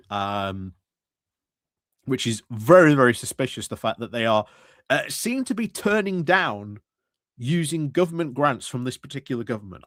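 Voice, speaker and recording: A man's voice: mid-range voice, fairly bright tone, no room sound, clean studio sound. A man hosting a stream, giving rambling, sardonic commentary on local politics with frequent restarts and chuckles. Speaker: stream host commenting on council meetings.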